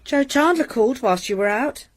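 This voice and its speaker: An actress playing an anxious, sharp-tongued middle-aged landlady who talks in a tense, curt way. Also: high-pitched, lively intonation, normal speed. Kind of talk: radio drama acting.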